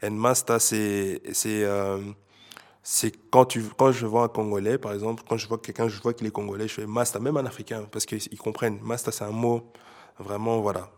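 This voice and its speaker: A man's voice: fairly low pitch, flat delivery, normal speed, darkish tone. A man from Belgium casually explaining slang words, hesitant and full of fillers, switching between French and Dutch. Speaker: interviewee.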